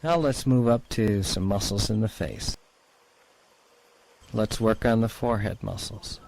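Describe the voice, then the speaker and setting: A man leading a guided relaxation exercise as a therapist, in a soothing, unhurried, gently instructing manner. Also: lowish voice, creaky, poor audio.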